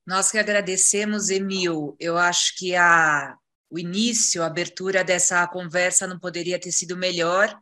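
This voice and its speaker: A woman's voice: fairly low pitch, fairly even intonation, normal speed, bright, forceful tone. A woman with a Brazilian Portuguese accent moderating an online panel, introducing guests in long flowing sentences with "é" fillers, over a video call. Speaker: webinar moderator.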